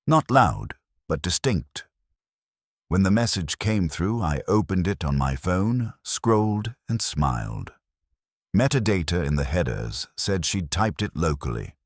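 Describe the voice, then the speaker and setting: Very deep, husky male mystery narrator. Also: dry recording, clean studio sound. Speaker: audiobook narrator. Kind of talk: scripted first-person detective story narration.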